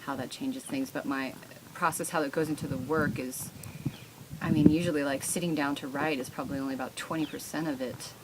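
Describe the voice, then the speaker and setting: An American woman with a low voice speaks informally as an interviewed writer. She is expressive and candid. There is noticeable background noise on the recording. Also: fairly quick. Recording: clearly noisy background.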